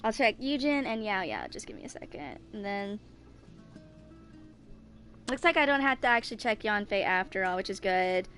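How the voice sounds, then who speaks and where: A woman in her twenties streaming a video game, talking casually in a rambling, halting way that circles back on itself. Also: mid-range voice, big pitch swings, a bit bright, soft background music. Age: 20s. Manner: rambling, halting, repeats herself. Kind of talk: casual livestream chat with some reading aloud of game text.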